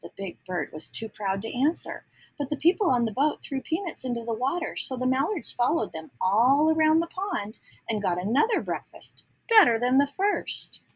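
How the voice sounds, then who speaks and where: Female storybook reader, higher-pitched with a very breathy, husky tone; expressive narration, explains things as she goes. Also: normal speed, rough recording. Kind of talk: children's read-aloud with explanatory asides.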